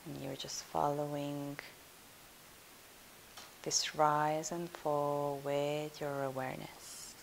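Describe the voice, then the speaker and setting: Slow, low-voiced woman guiding meditation. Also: creaky, rough recording. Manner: soothing, hushed, unhurried instruction. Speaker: yoga nidra teacher. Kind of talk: guided meditation. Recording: steady hiss.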